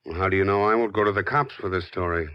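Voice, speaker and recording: A hardboiled, wary private eye played by a man with a fairly low voice. His lines are clipped, skeptical and wisecracking, in vintage radio crime style. The recording is dull and very dry. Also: fast, dry recording, lightly acted. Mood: wary, bargaining. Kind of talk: 1940s radio crime drama acting.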